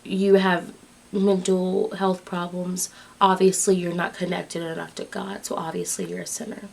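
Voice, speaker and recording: A twenty-three-year-old woman speaking candidly in an interview, growing animated when she recounts what others have said. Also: on the low side, normal speed, creaky.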